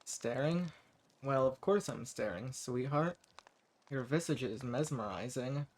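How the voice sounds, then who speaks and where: A man playing a centuries-old hermit vampire, eccentric and sweet-talking with quiet menace, in a scripted roleplay audio drama spoken to the listener. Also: mid-range voice, measured pace, close to an everyday voice. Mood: flirtatious, musing.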